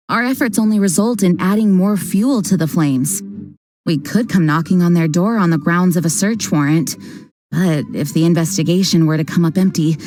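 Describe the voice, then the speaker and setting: An actress voicing a composed female knight commander who speaks in a measured, formal way. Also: fairly low pitch, mellow, lightly acted. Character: calm dutiful female knight leader, composed. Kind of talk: video game voice acting.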